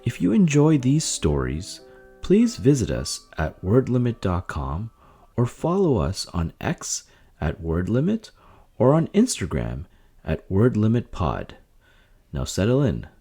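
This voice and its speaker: A man's voice: on the low side, wildly swooping pitch, a little slow, warm tone, husky, faint music behind. A man presenting a story series in scripted, dramatic podcast intro narration.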